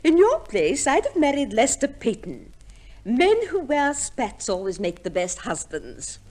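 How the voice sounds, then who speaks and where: A woman's voice: high-pitched, huge pitch swings, measured pace, a little mellow, full character voice. An actress playing a fussy, worldly middle-aged society mother with a grand, affected manner in a 1950s radio comedy. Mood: worldly, opinionated.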